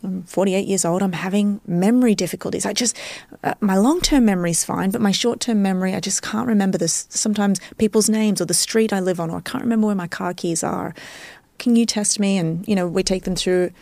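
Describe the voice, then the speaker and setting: A woman's voice: mid-range voice, fairly quick, creaky, warm tone, no echo. A woman with an Australian accent explaining her field as an expert guest in a podcast interview, teaching step by step and checking that she is followed. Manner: didactic, checks understanding with tag questions.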